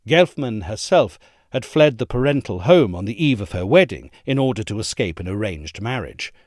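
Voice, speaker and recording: A man reading an essay aloud as a narrator, in a measured, articulate and formal delivery. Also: lowish voice, big pitch swings, a little mellow, no echo, studio quality.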